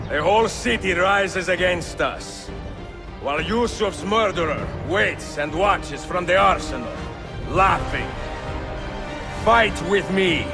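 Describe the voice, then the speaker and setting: An actor playing a fierce, vengeful assassin leader, speaking forcefully in a game cutscene. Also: male voice, high voice, heavily acted. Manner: forceful, slow oratory. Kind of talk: dramatic game cutscene acting. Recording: music behind.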